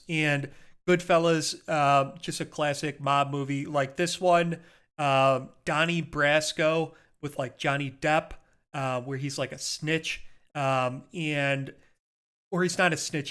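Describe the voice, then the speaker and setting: Male podcast co-host, slightly high voice, conversational, enthusiastic, wandering and self-correcting. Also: fairly bright tone, clear, resonant tone. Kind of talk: casual chat about favorite movies.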